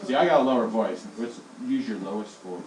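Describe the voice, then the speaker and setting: A male elementary school teacher leading his class in call-and-response, swinging his voice high and low as he drills them. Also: animated, brisk, a crowd in the background. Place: classroom, indoors. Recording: echoey classroom from far off, with noise.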